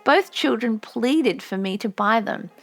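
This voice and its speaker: A woman reading a memoir as an audiobook narrator, with measured storytelling delivery. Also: fairly high pitch, expressive, a bit bright.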